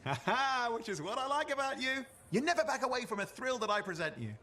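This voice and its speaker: An actor playing a playful, cheeky young freelance partner in a video game, with a jokey, teasing manner. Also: male voice, extremely high pitch, sing-song, fast talker, pushed and bright, heavily acted. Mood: amused, cheeky.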